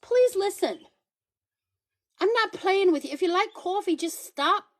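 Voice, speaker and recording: Woman host, high voice, sassy emphatic delivery, playful accent switches; casual phone livestream. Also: expressive, measured pace. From Paraguay. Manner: sassy, emphatic, pleading and teasing the viewers. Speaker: livestream host promoting products.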